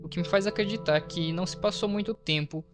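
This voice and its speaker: A man with a Brazilian accent hosts a horror recap video. His voice sits a bit high, and he explains the story with casual asides. Music plays softly behind him. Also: quick pace. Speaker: video host, narrator. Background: faint to clear background music.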